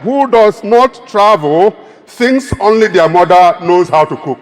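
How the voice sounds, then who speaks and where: A man's voice: high-pitched, unhurried, a little hiss or hum. A Nigerian man with an Igbo accent giving an emphatic, rousing public speech as a keynote speaker. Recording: long reverb of a large hall.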